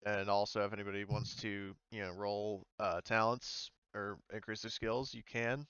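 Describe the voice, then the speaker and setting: A man's voice: lowish voice, level tone, normal speed, fairly bright tone, clear, resonant tone, no room sound, lo-fi sound. A man speaking informally as game master, chatting through a tabletop session in a loose, hesitant way. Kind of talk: casual tabletop game chat.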